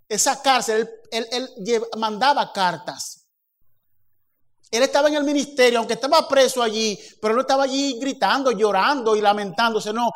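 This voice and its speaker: A man preaching a sermon, acting out little dialogues and building to emphatic peaks. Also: very high voice. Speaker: pastor.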